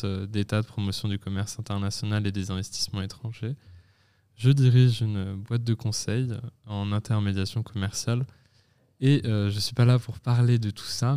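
A young man in his twenties, a student guest on a podcast, explaining things in a measured way with many pauses and self-corrections. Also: lowish voice, mellow, smooth. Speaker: guest, student association president. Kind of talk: casual podcast talk.

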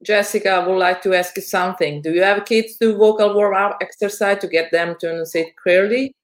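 A woman's voice: medium pitch, fairly even intonation, brisk. A woman moderating a webinar, politely and hesitantly passing on an audience question.

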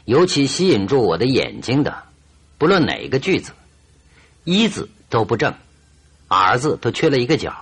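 An actor playing a shrewd, confident detective in a radio drama, speaking deliberately and with command. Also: male voice, mid-range voice, sing-song, slightly rough. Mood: probing, assured. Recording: radio drama with music underneath.

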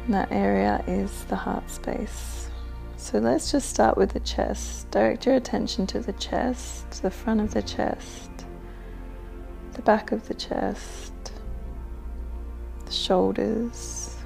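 A woman leading a guided meditation with gentle, soothing instructions. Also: medium pitch, steady tone, takes it slowly, gravelly, soft background music.

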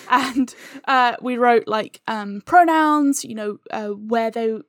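A woman's voice: a little high, takes it slowly, no room sound, clean studio sound. A woman guest in a casual chat, explaining things in a jokey, giggly way. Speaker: interview guest, audio fiction creator.

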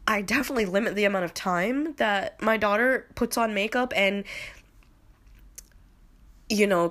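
A woman's voice: mid-range voice, fairly bright tone. A woman in her late twenties with a slightly nasal voice from a cold, talking through her opinions in a loose, rambling monologue. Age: 20s. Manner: rambling, with many fillers and restarts. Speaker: podcast host.

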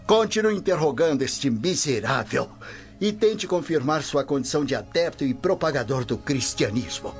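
Haughty brazilian roman official, scornful, big swings in pitch, music behind, muffled. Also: male voice, animated, normal speed. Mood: angry, scornful. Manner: imperious, scornful. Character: haughty, irritable male Roman official, harsh interrogator. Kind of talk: radio soap acting in Portuguese. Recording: muffled radio drama with clear music underneath.

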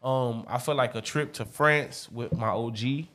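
A man in casual chat with friends, slangy and animated, laughing often. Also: mid-range voice, a little slow. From Florida.

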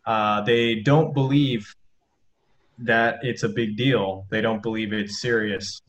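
Slow, halting man on a video call. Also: lowish voice, steady tone, muffled recording. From southern Thailand. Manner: slow, halting, repeats words. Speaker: participant in a video call chat. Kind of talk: casual chat.

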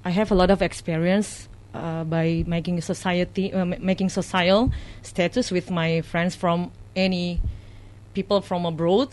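A woman's voice: lowish voice, fairly even intonation, moderate pace, a little mellow, low-quality recording. A woman with an Indonesian accent, a radio guest speaking earnestly in English, searching for words with frequent restarts. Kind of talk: casual radio talk show chat.

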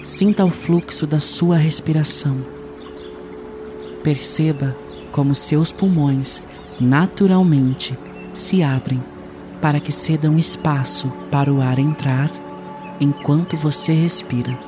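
A man leading a guided meditation in a soothing, unhurried way with long pauses. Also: fairly high pitch, over music.

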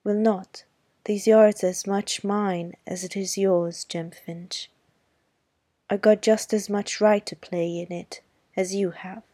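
A woman's voice: medium pitch, fairly even intonation, slow, warm tone, breathy edge, no room sound, studio quality. A woman reading the part of a feisty, stubborn young girl, speaking bluntly and defiantly.

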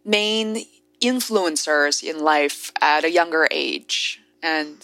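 Hesitant woman host, low voice. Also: slow pace, bright, forceful tone, no echo. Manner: hesitant, filler-heavy questions. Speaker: podcast host. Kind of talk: podcast interview.

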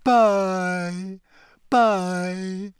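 Audiobook ghost girl played by a man: glum, touchy, high-pitched and drawn out, full of self-pity. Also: slow pace, smooth, dry recording, clean studio sound. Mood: mournful, gloomy. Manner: mournful, shrill when upset. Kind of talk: audiobook character voice.

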